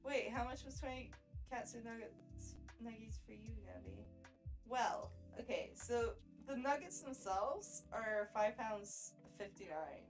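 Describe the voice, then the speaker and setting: A woman's voice: on the high side, expressive, a little slow, faint music behind. A woman from Scotland with a mild Edinburgh accent, chatting casually on a livestream, giggling often and stammering over her restarts. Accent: mild Edinburgh Scottish. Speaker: streamer.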